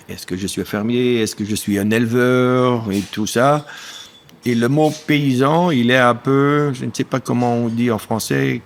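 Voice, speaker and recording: A man in his sixties, a dairy farmer from German-speaking Belgium with a German accent, speaking French as a second language, haltingly searching for words in a conversational podcast interview. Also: mid-range voice, creaky. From German-speaking eastern Belgium.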